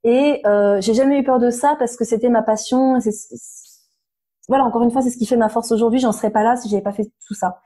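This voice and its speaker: A woman in her thirties speaks as an interview guest, her voice slightly hoarse yet mellow and warm. She sits fairly high in pitch and talks frankly and animatedly, full of little fillers and restarts. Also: fairly even intonation, fairly quick. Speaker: interview guest, nutrition therapist. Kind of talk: casual conversational interview.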